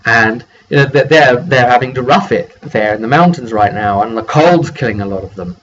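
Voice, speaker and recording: A man speaking as a game master, casually recapping a tabletop campaign in a rambling, halting way with repeated words and trailing asides. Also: medium pitch, a bit bright. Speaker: game master and vidcast host. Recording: dull lo-fi sound with faint background noise.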